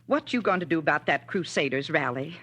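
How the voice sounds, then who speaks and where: An actress playing a fretful, gossipy small-town American drugstore woman with a husky, folksy drawl, in 1940s radio drama style. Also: low-pitched, expressive, brisk, fairly bright tone, heavily acted. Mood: folksy, curious. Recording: vintage 1940s radio recording.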